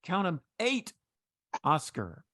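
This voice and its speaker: A man's voice: a little high, huge pitch swings, slow, bright, forceful tone, dry recording, studio quality. A man hosting a playful trivia game on a video call, with teasing game-show flourishes.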